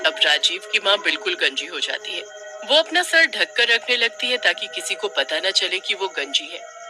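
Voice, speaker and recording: A man's voice: high voice, low-quality recording. A man narrating a scripted cartoon horror story as a storyteller. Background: music.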